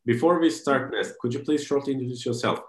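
A man hosting a podcast interview over a video call, asking friendly, halting questions with restarts. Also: mid-range voice. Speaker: podcast host. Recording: roomy echo on call audio.

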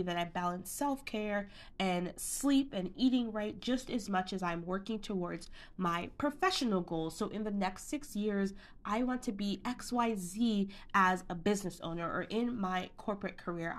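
A woman's voice: mid-range voice, a bit bright. A woman hosting a solo podcast, talking listeners through goal planning in an upbeat, conversational coaching manner. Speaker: podcast host and business coach. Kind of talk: solo motivational podcast talk.

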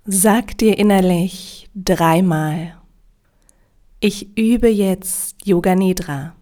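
A woman leading a guided yoga nidra relaxation in a calm, soothing, measured way with long pauses. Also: on the low side.

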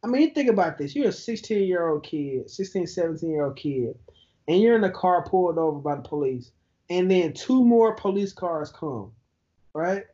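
A man, telling an animated story in a casual podcast chat. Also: normal speed, highs cut off. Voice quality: high-pitched voice. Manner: animated storyteller who laughs easily.

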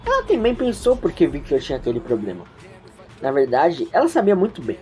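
A woman's voice: sits low, wildly swooping pitch, brisk, darkish tone, faint music behind. A woman narrating an audio story informally, with chatty, reflective asides addressed to the listeners. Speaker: narrator and host.

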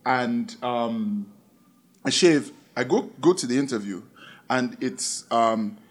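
A male podcast co-host speaks in a medium-pitched voice. He chats casually, in the joking way of someone bantering with a close friend. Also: slow. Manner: playful, joking.

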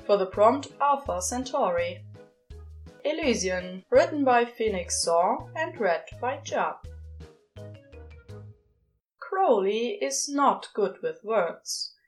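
A woman reading fiction aloud as expressive scripted narration, with dramatic pauses. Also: on the high side, soft background music. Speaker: podfic reader.

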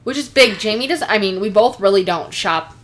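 A woman's voice: medium pitch, a little fast, fairly bright tone. A young woman with a nasal voice co-hosting a casual chat podcast, rambling and giggly with lots of fillers. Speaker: podcast co-host.

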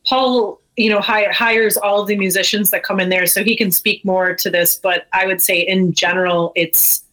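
A woman's voice: medium pitch, steady tone, moderate pace, bright, forceful tone, rough recording. A woman with an American accent chatting casually as a guest in an interview. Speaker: brewery owner, podcast guest.

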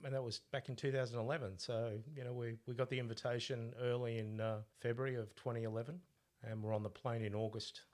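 A middle-aged man born in Italy, with an Australian accent, co-hosting a podcast and chatting casually as a relaxed storyteller. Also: mid-range voice, fast. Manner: relaxed storyteller, laughs at own stories. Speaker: podcast co-host, coach. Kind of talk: casual conversational chat.

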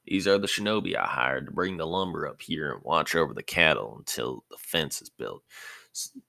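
A man's voice: extremely low pitch, animated, normal speed, vocal fry, pushed and bright, no echo, exaggerated voice. A man voicing a friendly young cattle farmer in a story reading, chatty and folksy in manner. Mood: chiding.

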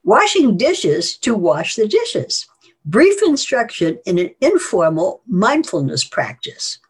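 An older woman with a husky voice, reading research aloud and explaining it in a matter-of-fact, conversational way. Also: medium pitch, very animated, takes it slowly. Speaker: psychologist, podcast guest reader and commentator.

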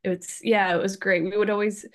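A woman's voice: medium pitch, little pitch movement, normal speed. A young woman from Massachusetts, a guest talking casually in an interview, chatty with many ums and likes. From Massachusetts, Cape Cod. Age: young adult.

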